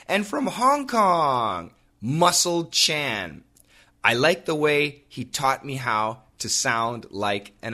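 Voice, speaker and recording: A man, hosting an English-learning podcast and reading out listener comments in an upbeat, animated way. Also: mid-range voice. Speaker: podcast host and English teacher.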